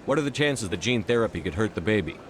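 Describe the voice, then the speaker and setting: An actor playing a composed, guarded human military commander in a video game, with a measured, curt manner. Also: male voice, on the low side, lively intonation, a little hiss or hum, close to an everyday voice. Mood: calm inquiry. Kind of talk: video game dialogue acting.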